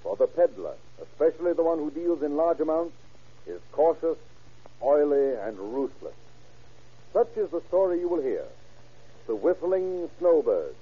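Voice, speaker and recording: A man's voice: fairly high pitch, very animated, measured pace, slightly rough. A man narrating a radio drama from a script in a grave, formal, dramatic 1930s style. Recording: thin, phone-like 1930s radio recording, music.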